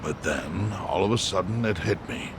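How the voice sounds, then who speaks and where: An actor playing a calm, calculating older chairman in an English video game dub, gravelly and measured, laying things out with dry bluntness. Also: low voice, noisy background. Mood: dryly teasing.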